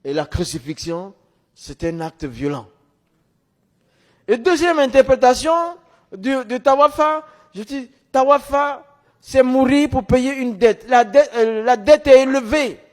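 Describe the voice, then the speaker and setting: The long reverb of a hall surrounds a man with a high-pitched voice. He is a non-native French speaker, middle-aged, delivering a religious testimony like a storyteller. His phrases come in short, emphatic bursts that he often repeats. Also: animated, a little slow, a little mellow. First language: a language other than French, Arabic or English.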